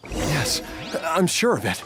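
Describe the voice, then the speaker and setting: A man playing a troubled, determined game character haunted by lost memories, speaking haltingly and earnestly. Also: on the high side, sing-song, over music. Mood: uneasy, searching. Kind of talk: video game voice acting.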